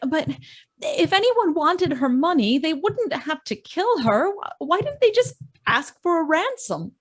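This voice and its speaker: Woman acting a high-voiced, scheming sister. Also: big pitch swings, unhurried, heavily acted. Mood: earnest. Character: dramatic, scheming sister feigning shock. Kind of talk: old-time radio mystery acting. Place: live video call at home. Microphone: video call.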